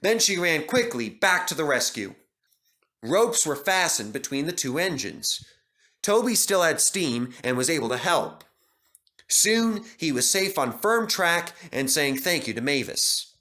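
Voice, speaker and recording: Male storybook narrator; high, animated, expressive read. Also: measured pace.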